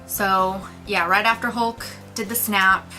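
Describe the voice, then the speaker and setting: A woman's voice: mid-range voice, flat delivery, unhurried, sharp tone, faint music behind, poor audio. A woman co-hosting a casual movie review, talking informally and enthusiastically with slang.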